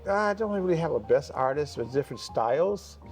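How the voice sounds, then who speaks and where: A man's voice: fairly high pitch, expressive, a little fast. Reflective older man, faint music behind. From Buffalo, New York. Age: 60s. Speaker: guest musician. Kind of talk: interview conversation.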